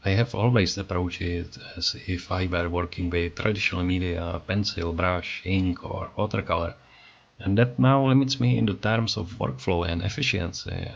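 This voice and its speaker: Low-voiced Czech-accented man, earnest. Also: fairly even intonation, moderate pace, vocal fry, dull audio. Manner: earnest, reflective, self-critical. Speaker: artist and channel creator. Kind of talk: scripted voice-over narration.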